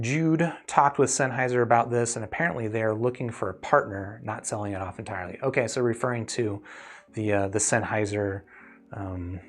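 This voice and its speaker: Casual man livestreaming, music behind him. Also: fairly low pitch. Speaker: host of a livestream reviewing earphones. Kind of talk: casual live chat, answering viewers' questions.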